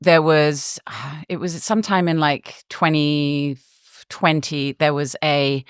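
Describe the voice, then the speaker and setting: Low-voiced woman, chatty. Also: fairly even intonation, slow, clean tone, dry recording, clean studio sound. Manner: chatty, laughs often, many fillers and restarts. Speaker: guest reporter. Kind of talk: casual podcast conversation.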